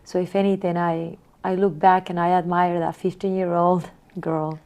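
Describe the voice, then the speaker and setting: A woman in her forties from Honduras, answering interview questions in a soft, reflective way with small repeats. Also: fairly low pitch, flat delivery, moderate pace. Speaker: guest.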